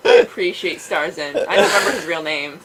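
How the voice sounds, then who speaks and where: A woman's voice: low-pitched, fairly quick, crowd noise, poor audio. A woman co-hosting a let's play with casual, exclamatory and teasing commentary. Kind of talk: casual reactive gaming commentary. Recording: noticeable background noise.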